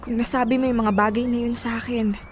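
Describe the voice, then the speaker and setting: A woman's voice: on the high side, level tone, quick pace, close to an everyday voice. An actress with a Filipino accent playing a hurt, spurned young woman, proud and reproachful, in an emotional radio drama. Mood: wounded, firm. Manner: wounded, reproachful. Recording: music under the dialogue. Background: music.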